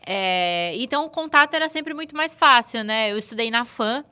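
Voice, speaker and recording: Bright, clear-voiced young São woman. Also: fairly high pitch, animated, normal speed. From São Paulo state, Brazil. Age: 20s. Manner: chatty, loose, many filler tags. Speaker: sports journalist guest. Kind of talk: casual interview chat.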